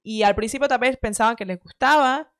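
A woman in her thirties with a light Cuban accent, giving quick, chatty, opinionated commentary into a studio mic at home. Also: on the high side, clean tone, no room sound. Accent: light Cuban Spanish. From Cuba. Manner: quick, chatty, opinionated, frequent self-corrections. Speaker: host reacting to clips. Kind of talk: casual commentary. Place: home studio room with shelves. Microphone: headset with studio mic on arm.

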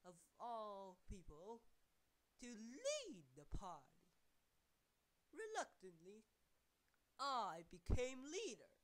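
A woman's voice: mid-range voice, rough recording. A woman playing a proud, formal elderly male statesman in a theatrical, declamatory historical monologue. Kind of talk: scripted first-person historical monologue.

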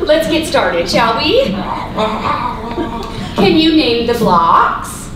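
Woman acting a sweet patient lady trainer, very slow n high, echoey hall far from mic. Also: sing-song, a crowd in the background, exaggerated voice. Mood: coaxing, sweet. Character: gentle, patient woman training a childlike pupil. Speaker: stage actor. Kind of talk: live staged radio play. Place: stage in a small auditorium.

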